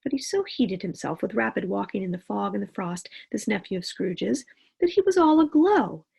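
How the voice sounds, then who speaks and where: A woman reading a classic story aloud in an expressive narrating style. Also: mid-range voice, normal speed, gravelly, breathy edge.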